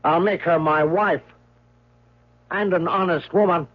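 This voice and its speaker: A man's voice: high voice, steady tone, a little slow, slight background noise. An actor playing a scheming, domineering male guardian with a clipped, imperious manner, in 1970s radio drama style. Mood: cold, menacing. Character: scheming, domineering male guardian, coldly threatening, self-serving. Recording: muffled old radio broadcast.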